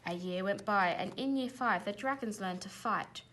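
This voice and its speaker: A woman teacher reading a children's storybook aloud in an animated, expressive way. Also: mid-range voice, moderate pace, a little hiss or hum.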